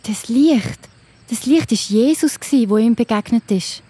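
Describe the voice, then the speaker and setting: A woman with a Swiss German accent narrating a Bible story for children in a gentle, explaining manner. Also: on the high side, measured pace, husky, no room sound.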